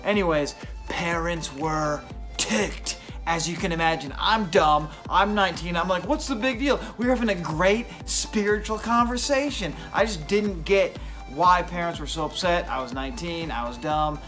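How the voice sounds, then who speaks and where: A forty-year-old youth pastor talks through his day in a chatty, self-deprecating way. His voice sits a little high for a man. Clear music plays behind him. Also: moderate pace, a bit bright. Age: 40s. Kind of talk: casual vlog narration.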